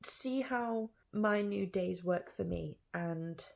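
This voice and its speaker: Late-20s woman vlogger, congested with hay fever, rambling and self-correcting, a gravelly edge. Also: medium pitch, takes it slowly. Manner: rambling, thinking aloud with fillers and self-corrections. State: stuffy-nosed from hay fever. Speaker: artist vlogger. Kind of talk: casual reflective vlog chat.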